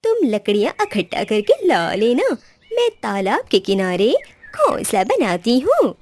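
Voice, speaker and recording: A woman's voice: fairly high pitch, huge pitch swings, unhurried, a little mellow, exaggerated voice. A woman voicing a scheming, bossy female crow who scolds in a children's cartoon. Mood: bossy, scolding. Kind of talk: Hindi children's cartoon dialogue.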